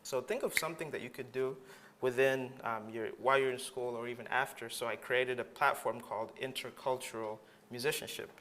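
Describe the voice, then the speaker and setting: Bright-voiced enthusiastic man from Tanzania. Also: mid-range voice, fairly even intonation, a little fast. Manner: enthusiastic, rambling with many fillers. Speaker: graduate student guest. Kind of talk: casual interview answers.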